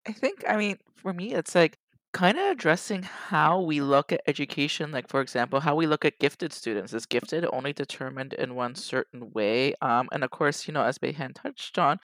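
A man speaking personally on a panel, in a halting, conversational way full of verbal fillers. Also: a little high, a bit bright, dry recording. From Toronto, Canada. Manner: halting, frequent "you know" and "like".

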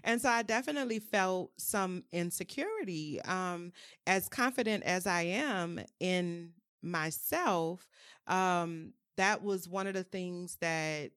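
A woman's voice: lowish voice, takes it slowly, fairly bright tone, smooth, no echo, clean studio sound. A woman from New Orleans, talking openly in a personal conversation, with frequent "um"s and "you know"s. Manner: open and frank, many "um"s and "you know"s.

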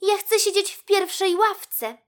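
A woman's voice: extremely high pitch, level tone, a little slow, voice pushed up. A woman voicing a vain young female kitten in a children's story, with a cold, snooty and dismissive manner. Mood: cold, demanding.

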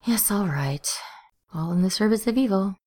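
A woman voicing a sardonic male demon, talking in a dry, quick, wry way. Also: medium pitch, lively intonation, mellow, natural voice. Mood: weary. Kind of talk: multivoice podfic reading.

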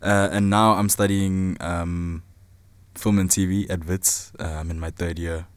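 A man's voice: deep voice, little pitch movement, unhurried, no echo. A South African man in his twenties, talking casually about himself as an interview guest. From Zimbabwe and South Africa. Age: 20s. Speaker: podcast guest, film student and photographer.

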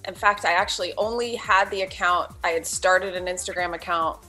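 A middle-aged woman guesting on a podcast, talking in a relaxed, candid way with lots of fillers and restarts. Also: on the low side, fairly quick, faint music behind. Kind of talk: casual podcast chat.

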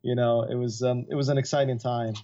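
Chatty, halting man, slightly dull lo-fi audio. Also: medium pitch, flat delivery, mellow. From Florence, Italy, and from his teens Florida. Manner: halting, chatty, peppered with "like" and "you know". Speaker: podcast guest, composer and filmmaker. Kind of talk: casual, anecdotal interview talk.

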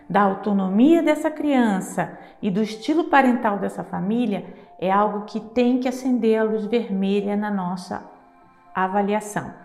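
A woman's voice: mid-range voice, vocal fry, roomy sound. A woman from Rio de Janeiro with a Carioca accent, lecturing psychologists in a didactic way and often checking in with "tá?". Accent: Carioca Brazilian Portuguese. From Rio de Janeiro, Brazil.

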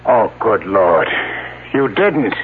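A man's voice: fairly low pitch, big pitch swings, slow, slight background noise. An actor playing an intense, troubled man in a 1970s radio thriller. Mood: grave, shocked. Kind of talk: 1970s radio drama acting. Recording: tinny radio recording with loud music.

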